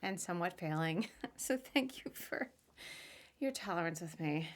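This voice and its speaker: A woman with a slightly low voice hosts a casual live interview. She talks in a chatty, informal way and is quick to poke fun at herself. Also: expressive. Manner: chatty, informal, self-deprecating. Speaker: podcast host interviewing a guest.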